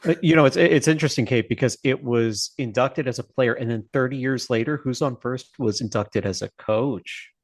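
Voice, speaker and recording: A man with a medium-pitched voice talks casually as a podcast co-host. His delivery is conversational, full of joking banter. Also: normal speed, hardly any pauses.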